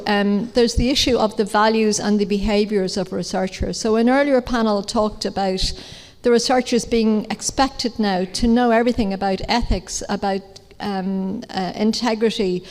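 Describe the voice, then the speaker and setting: A woman, an academic on a conference panel, speaking in a measured, explanatory way with some hesitations. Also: mid-range voice, darkish tone. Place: large conference hall. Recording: reverberant hall.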